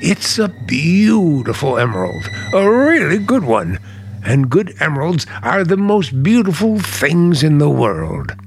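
Casting: bitter, brooding American uncle, radio thriller, higher-set creaky voice, hesitant. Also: male voice, huge pitch swings, measured pace, exaggerated voice. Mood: smooth, generous. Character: charming but bitter, secretive uncle. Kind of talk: mid-century American radio drama acting.

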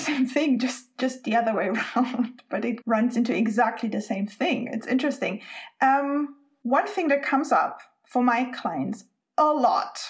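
A woman from Spain, hosting a podcast interview and thinking aloud in a reflective way. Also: fairly high pitch. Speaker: podcast host.

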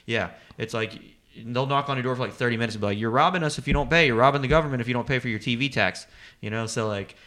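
A man's voice: mid-range voice, fast. A man chatting casually as a comedian podcast host, rambling and swearing freely as he explains things. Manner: profane, rambling, animated explaining with quoted asides.

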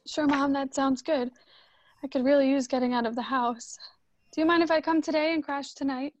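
A woman's voice: high voice, fairly even intonation, a little fast, a little mellow, no echo, dull audio, lightly acted. A woman playing a hurt, reproachful young wife in a radio drama, emotional and plaintive. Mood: subdued, weary.

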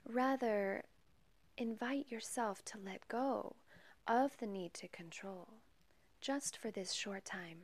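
Female meditation guide, unhurried and gentle, soft breathy delivery with whispery edges, very dry close sound. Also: mid-range voice, no room sound. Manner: calm, measured, gentle, with long pauses. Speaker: meditation guide and podcast host.

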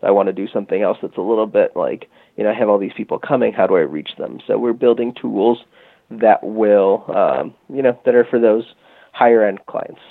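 A man with a low, gravelly voice speaks over a tinny, phone-like line. He talks casually in an interview, explaining at length and often restarting with fillers. The sound is dry. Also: a little fast, no room sound. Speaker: guest from a music marketing company.

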